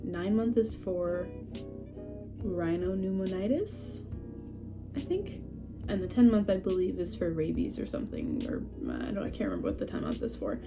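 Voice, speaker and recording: A young woman with a cold, sounding stuffy and a little scratchy, chatting casually and rambling from her home in a vlog update. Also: on the low side. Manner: rambling, chatty, self-deprecating, laughs often. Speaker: horse breeder vlogger. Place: at home among houseplants.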